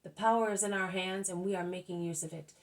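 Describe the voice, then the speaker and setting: A woman with a gravelly voice reading aloud, voicing furious, arrogant male officials who give loud, haughty commands. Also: on the low side, steady tone, fast, lightly acted.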